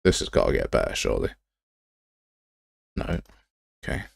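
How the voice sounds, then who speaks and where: A man's voice: moderate pace, dry recording, clean studio sound. A man hosting a casual livestream, grumbling and thinking aloud as he goes. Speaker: livestream host. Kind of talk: casual livestream commentary while browsing software assets.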